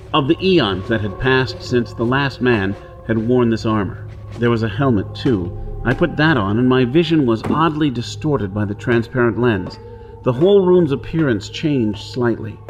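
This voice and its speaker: A man's voice: mid-range voice, natural voice. Actor as brusque, brooding outlaw man, music behind. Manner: dramatic, brooding, brusque in dialogue. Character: brusque, wary hunted outlaw man on Mars. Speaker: narrator and lead actor. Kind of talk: pulp radio drama acting and first-person narration.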